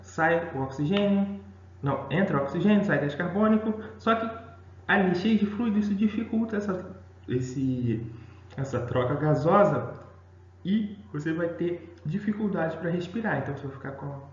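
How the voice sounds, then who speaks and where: A man's voice: high-pitched, expressive, moderate pace. A Brazilian man teaching a recorded science lesson to school students, explaining patiently and checking in often with tag questions. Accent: Brazilian Portuguese. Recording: echoey room, dull lo-fi sound.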